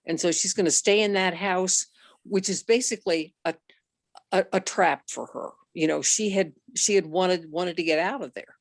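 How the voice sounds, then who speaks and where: Tentative woman with a creaky voice. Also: fairly low pitch, no echo. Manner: tentative, halting. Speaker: book discussion participant. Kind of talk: reflective opinion in group discussion.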